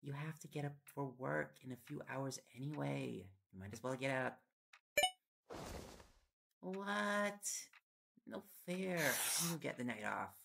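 A young man playing an affectionate, teasing reporter boyfriend in an intimate audio roleplay, with a gravelly voice and playful, coaxing talk. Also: medium pitch, lively intonation, unhurried, long pauses. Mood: playful indignation. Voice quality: gravelly, creaky. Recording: loud background noise with clicks. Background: ticking and clicking sounds.

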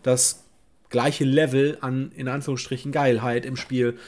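A man's voice: medium pitch, flat delivery, measured pace, a little mellow. A middle-aged man sits at his desk webcam in a home office and talks through dog training advice. His delivery is casual and unscripted. He thinks aloud, with frequent fillers and restarts as he works out his answer. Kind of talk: advice video, reading and answering a viewer letter. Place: home office with bookshelves, indoors.

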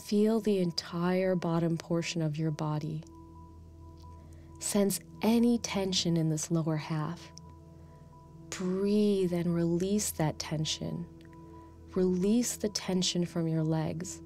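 A woman playing a calm, nurturing guide who leads children through a meditation in a soft, soothing, gently instructing way. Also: fairly low pitch, takes it slowly. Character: Dynamo Spiritus, calm nurturing meditation guide addressing youngsters. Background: background music.

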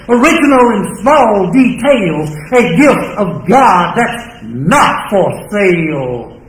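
An older man giving a spoken-word recital at a live reading, with deliberate, dramatic phrasing and pauses, speaking into a stand microphone. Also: sits high. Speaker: spoken-word performer and lyricist. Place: small indoor venue stage. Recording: roomy live microphone sound.

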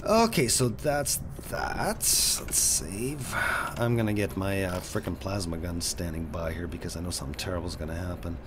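A man's voice: low voice, lively intonation, moderate pace. A man giving casual, rambling play-through commentary as a gaming video host. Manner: chatty, rambling, jumpy exclamations. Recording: noisy voice-over with game sounds. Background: video game sounds.

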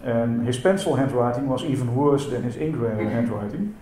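A man's voice: mid-range voice, normal speed, mellow. A man whose first language is Dutch, giving a conference talk in a hesitant way, with many fillers and repeated words. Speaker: symposium speaker. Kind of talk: conference presentation. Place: lecture hall. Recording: echoey hall with faint noise.

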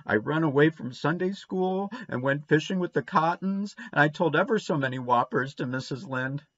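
A man's voice: on the high side, moderate pace, a bit bright, no echo, pitched above the performer's own voice. A man voicing a guilty, blurting little boy, dull recording. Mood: miserable, pleading. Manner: childish, ungrammatical country speech. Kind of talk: storybook character reading.

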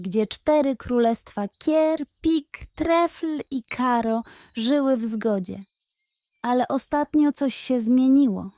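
A woman voicing a small magical doll girl in a children's storybook, affectionate, earnest and excitable. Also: sits high, no echo.

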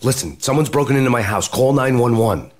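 An actor playing a grim, world-weary American police detective in a video game, speaking in measured, hardboiled style. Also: male voice, medium pitch, lively intonation, background noise, lightly acted. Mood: alarmed. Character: brooding, world-weary New York police detective, man. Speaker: narrator and protagonist detective. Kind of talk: hardboiled noir scripted narration. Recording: music behind the voice.

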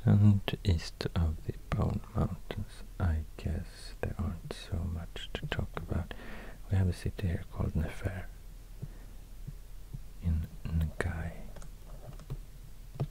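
A man with a Swedish accent whispering soft-spoken ASMR in a hesitant, unhurried way, pausing mid-sentence, close to a binaural microphone. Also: sits low, steady tone, rough recording. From Sweden. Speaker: ASMR video creator. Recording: close binaural recording with clear background noise.

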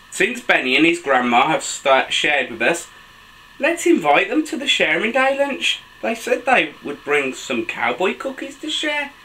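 A man in his thirties reading a picture book aloud to children in an expressive, sing-song, enthusiastic way. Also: high voice, slight background noise, poor audio. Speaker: dad reading children's books for a video channel. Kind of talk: animated storybook read-aloud for children. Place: small bedroom with a bed and soft toys.

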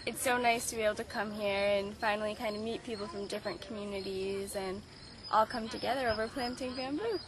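A young American woman from New York giving a casual testimonial to a camera in an open clearing. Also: medium pitch, fairly even intonation, brisk. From New York, United States. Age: young adult. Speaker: event participant. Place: outdoors in a grassy clearing. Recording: noisy outdoor camcorder recording. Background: outdoor ambience, birds.

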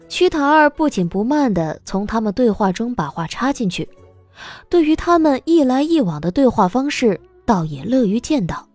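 A woman's voice: a little high, big pitch swings, normal speed, a little mellow, no echo, faint music behind. A woman narrating a scripted audiobook story as the narrator of a drama.

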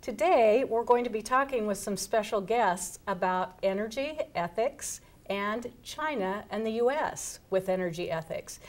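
A woman's voice: mid-range voice, lively intonation, a little slow, few pauses. A middle-aged woman hosting a studio panel discussion, welcoming guests and putting questions to them. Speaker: host, moderator. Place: television studio set. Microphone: lapel mic.

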